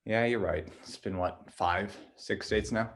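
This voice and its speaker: A young man acting on stage as an offhand, quietly morbid dating partner, speaking in a casual, halting way. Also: fairly low pitch, lightly acted. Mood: bored. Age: young adult. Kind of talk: student stage acting, naturalistic dialogue.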